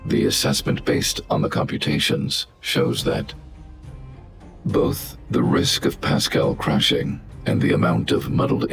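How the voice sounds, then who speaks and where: Very deep robotic guardian machine. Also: male voice, vocal fry, full character voice. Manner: measured, formal, emotionless delivery. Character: calm, logical robot guardian, large and fatherly. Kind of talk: scripted video game voice acting.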